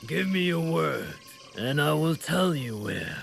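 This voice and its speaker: An actor playing a proud, calculating deposed shogun in a game cutscene, speaking formally and with condescension in a gravelly voice. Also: male voice, fairly high pitch, very animated, a little slow, fairly bright tone, a little hiss or hum, full character voice. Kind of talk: dramatic video game cutscene acting.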